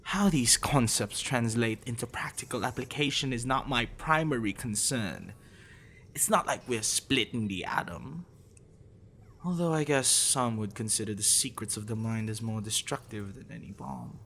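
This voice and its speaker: A man playing a possessive, sadistic professor in an ASMR roleplay, condescending and teasing, slipping into intimate whispers, with a low gravelly voice. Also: fairly bright tone, slight background noise, natural voice. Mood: smug, clinical. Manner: condescending, teasing, deliberate, dropping into breathy whispers. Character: possessive sadistic male professor, obsessive, teasing, smugly intellectual.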